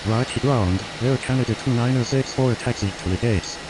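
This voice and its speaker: A man's voice: medium pitch, a little fast, background noise, lightly acted. A man voicing a businesslike airline pilot in a flight game with clipped, scripted radio phraseology. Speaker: airline pilot on air traffic control radio.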